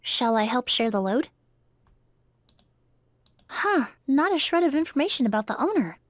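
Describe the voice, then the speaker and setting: A woman's voice: high-pitched, very animated, moderate pace, no room sound. A woman voicing a poised, refined young female video game character. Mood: poised, curious.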